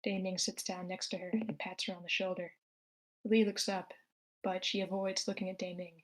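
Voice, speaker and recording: Casting: female narrator with a medium-pitched voice, reading scripted scene descriptions. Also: level tone, normal speed. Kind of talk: reading screenplay stage directions aloud.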